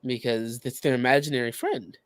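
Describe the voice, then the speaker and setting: A man co-hosting a podcast, explaining things casually in a halting, searching way. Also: mid-range voice, steady tone, clean tone, dry recording. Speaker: podcast co-host.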